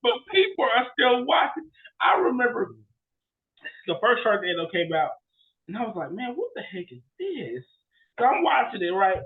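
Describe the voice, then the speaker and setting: A high-voiced young man chats casually as a podcast co-host, his pitch swinging around freely. He is exclamatory and repeats himself for emphasis, and the sound is thin and narrow like a phone line. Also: very animated, moderate pace. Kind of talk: casual chat.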